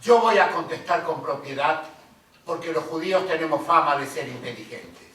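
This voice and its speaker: A man's voice: a little high, expressive, moderate pace, sharp tone, a little hiss or hum. An actor playing a self-important immigrant man of the 1950s, who speaks in a pompous, declamatory way. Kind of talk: stage acting. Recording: roomy, distant stage recording.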